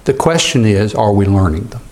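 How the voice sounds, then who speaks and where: A man's voice: on the low side, expressive, fairly quick, darkish tone. Man preaching an earnest sermon. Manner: earnest expository preaching, repeats words. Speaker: pastor.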